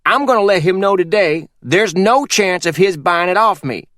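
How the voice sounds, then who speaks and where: A man's voice: high voice, expressive, moderate pace, fairly bright tone, no echo, clean studio sound, voice pushed up. A man voicing a shrewd, bossy older uncle from who orders people around. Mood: commanding. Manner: commanding, scolding.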